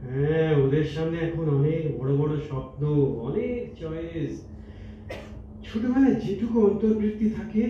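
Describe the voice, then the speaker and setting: A man's voice: a little high, natural voice. Man acting wistful old husband in echoey hall. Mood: wistful, reproachful. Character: tender, reflective old husband, retired science teacher. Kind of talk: Bengali stage audio drama. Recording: muffled, echoey hall from far off, noisy.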